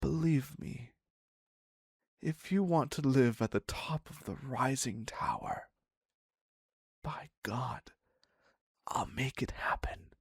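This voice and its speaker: Man acting as needy obsessive captor, husky breathy voice, extremely slow halting delivery, intimate dark romance audio drama, pleading. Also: mid-range voice, no echo, studio quality. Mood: earnest, devoted. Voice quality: breathy, husky, often dropping to a whisper. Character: obsessive, needy male captor, former patient devoted to his captive doctor.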